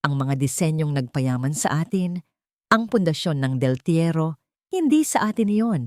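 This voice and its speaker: A woman's voice: deep voice, very animated, normal speed, a little mellow, no echo. A venomous, elegant matriarch of a wealthy family, played by a woman in a radio drama. She is haughty, dismissive and full of hate. The recording is dry and close. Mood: venomous, confessing. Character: venomous elegant rich matriarch, cruel and scornful. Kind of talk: Tagalog radio drama acting.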